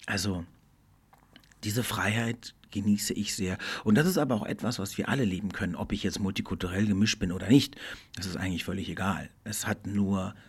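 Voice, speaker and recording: A middle-aged man talks through a reflective solo podcast with a gravelly, slightly husky voice sitting a little low. He sounds earnest and thoughtful, slowing down and sinking nearly to a whisper at times. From Germany. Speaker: podcast host.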